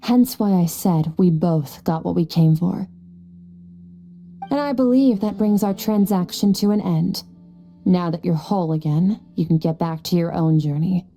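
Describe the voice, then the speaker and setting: A woman voicing an aloof, stoic young warrior in a video game, speaking in a cool, deadpan, formal manner. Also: on the low side, measured pace. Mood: resolute, curt. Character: aloof, stoic, powerful young swordswoman from the abyss. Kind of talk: anime-style game voice acting. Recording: music behind the voice.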